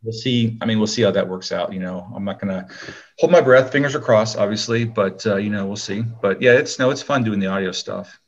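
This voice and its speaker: A man talking casually as an actor being interviewed, rambling along with frequent pauses. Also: on the low side, dull audio.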